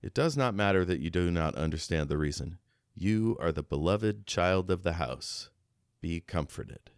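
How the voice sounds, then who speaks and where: A man's voice: low voice, animated, moderate pace, clear, resonant tone, no echo, studio quality. A man co-hosting a podcast, talking through a book in a casual, reflective, conversational way. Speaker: podcast co-host.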